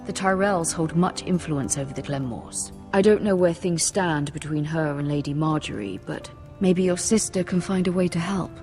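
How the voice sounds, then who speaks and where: An actress playing a middle-aged noble mother in a fantasy game, solemn and measured, with music underneath. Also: lowish voice, darkish tone, lightly acted. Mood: solemn, tender. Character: grave noble mother, lady of a northern house. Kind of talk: dramatic video game acting.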